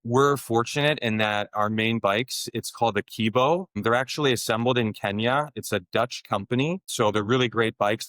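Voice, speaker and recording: A man's voice: mid-range voice, fairly bright tone, no echo. A man co-founding a motorcycle tour business, answering interview questions in an easygoing way, thinking aloud with frequent restarts.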